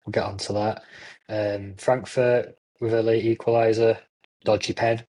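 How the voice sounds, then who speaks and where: A man, a football journalist, talking casually on a podcast with plenty of pauses and restarts. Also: lowish voice, little pitch movement, a little mellow. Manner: rambling with many ums and restarts.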